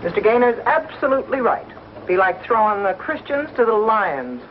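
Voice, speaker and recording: A woman's voice: on the high side, big pitch swings, normal speed, background noise. An actress playing a blunt, wry eating-house woman in an old Western television drama, folksy in her talk. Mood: wry, doubtful. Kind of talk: 1950s Western television acting. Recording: dull old TV soundtrack with music.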